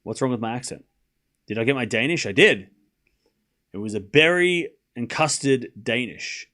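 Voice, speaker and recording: A man with a mild Australian accent and a medium-pitched voice hosts a sports livestream. He moves between live tennis commentary and casual replies to viewers. His delivery is conversational, and he often repeats a phrase to stress it. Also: expressive, normal speed, pushed and bright. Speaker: livestream watchalong host and commentator.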